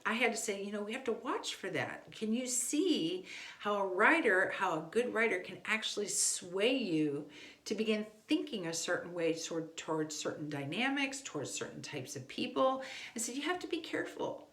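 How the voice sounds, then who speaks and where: A woman's voice: medium pitch, lively intonation, normal speed, fairly bright tone. A woman giving casual, conversational instructional advice as a homeschooling parent. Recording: echoey room.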